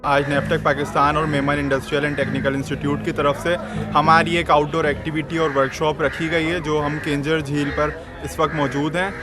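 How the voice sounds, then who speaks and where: Male student, fairly high pitch, outdoor testimonial, clear background music. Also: flat delivery, moderate pace. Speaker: student on a workshop trip. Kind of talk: testimonial to camera. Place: outdoors at a lakeside resort. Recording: outdoor recording with music over it.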